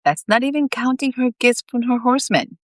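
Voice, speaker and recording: A woman reading her own fiction aloud as host, in an animated, dramatic storytelling manner. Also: fairly high pitch, brisk, no echo, clean studio sound. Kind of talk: expressive storybook reading on a live stream.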